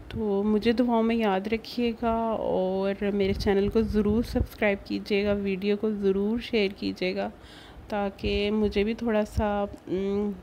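Woman, Pakistani accent, mellow tone, chatty casual vlog narration, background noise. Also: medium pitch. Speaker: vlogger.

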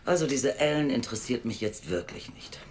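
A man's voice: mid-range voice, expressive, brisk, darkish tone, close to an everyday voice. A male actor plays a sceptical adoption official in an audio drama. The delivery is formal and reproachful, with very varied intonation and faint noise behind the voice. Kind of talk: naturalistic German audio drama acting.

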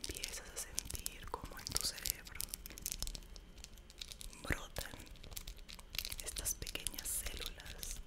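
Slowly whispering young woman. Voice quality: breathy, unvoiced whisper. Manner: slow, soothing, instructive. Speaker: ASMR meditation guide. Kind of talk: whispered ASMR meditation roleplay. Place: small bedroom, indoors. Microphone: desk condenser microphone, very close.

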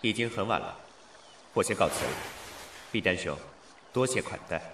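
A man's voice: on the low side, expressive, moderate pace, very noisy. An actor playing a playful, genteel male immortal in a costume audio drama. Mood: polite.

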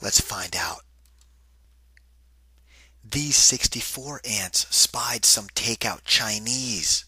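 Man, children's read-aloud, very sharp forceful tone, dry close sound, rhythmic singsong delivery. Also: on the low side, very slow, pauses often, slightly rough, no echo. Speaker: children's picture book reader and teacher.

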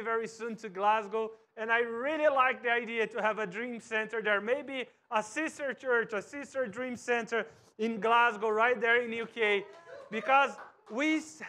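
A man in his thirties from Brazil, a pastor with a Brazilian Portuguese accent, preaching a sermon from a church stage and swinging from soft to loud. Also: very high-pitched. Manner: animated, wide gestures, swings from soft to loud. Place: church stage with cross backdrop and bookshelves.

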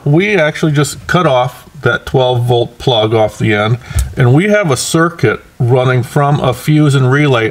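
American man giving a practical hands-on tutorial. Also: mid-range voice. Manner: plain-spoken, practical, step-by-step. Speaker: host of a DIY vehicle install channel. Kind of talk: hands-on tutorial explanation, conversational.

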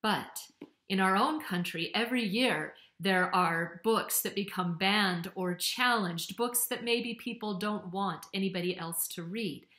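A woman's voice: medium pitch, measured pace, sharp tone. A middle-aged woman, a novelist, giving a prepared talk to camera from a home office. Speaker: historical novelist for young readers. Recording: roomy, echoing home office sound.

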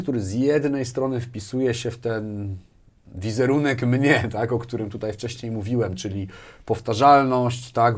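A man's voice: fairly low pitch, a little mellow. A man in his forties talking conversationally as an interview guest, thinking aloud with hesitations and repeated words. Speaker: interview guest, business manager. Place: small furnished indoor studio. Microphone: lapel mic.

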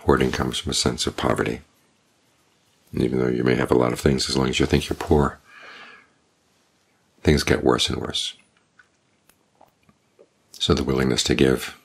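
An older man, a Buddhist monk, giving a calm, measured evening Dhamma talk with unhurried pauses. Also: rumbling low, gravelly, slightly rough. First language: English.

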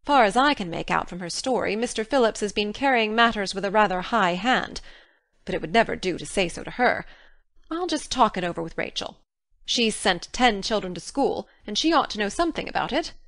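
A woman voicing a stern, practical older female guardian with a brisk, no-nonsense manner in an audiobook. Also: mid-range voice, expressive, a bit bright, dry recording. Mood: resigned pondering. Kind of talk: audiobook character dialogue.

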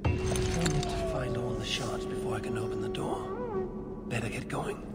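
Brash Viking woman over loud music. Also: extremely high pitch, huge pitch swings, moderate pace, full character voice. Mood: musing. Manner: blunt, defiant. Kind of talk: video game cutscene acting. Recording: loud music behind the dialogue.

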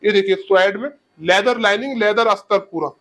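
Young man with a lapel mic. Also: fairly bright tone. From India. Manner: fast sales pitch. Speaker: shoe seller. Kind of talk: product showcase. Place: shoe showroom.

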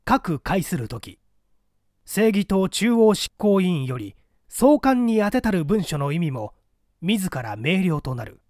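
A man's voice: high voice, sing-song, slow pace, darkish tone, dry recording, studio quality. A man narrating a literary story as a scripted audiobook, in a formal, precise, dramatic manner.

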